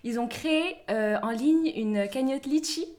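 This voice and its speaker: A woman's voice: high-pitched, a little slow, a little mellow. A woman co-hosting a podcast, telling a story in a chatty, amused way. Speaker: podcast co-host. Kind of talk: casual chat.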